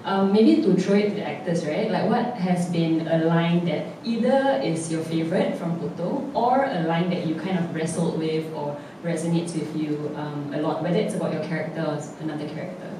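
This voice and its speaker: A woman leading a stage panel, asking questions casually. Her voice is very low for a woman and rings with the long echo of a big hall, picked up from a distance, with steady room noise behind. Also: normal speed, hardly any pauses. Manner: easy, conversational questioning. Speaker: panel moderator. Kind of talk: post-show panel discussion.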